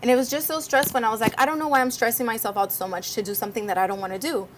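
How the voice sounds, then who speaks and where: A woman's voice: a little high, racing, noisy background. A young woman from Cuba, a guest co-host, chatting casually and animatedly, often laughing. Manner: animated, laughs often, acts out voices in stories. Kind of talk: casual interview chat.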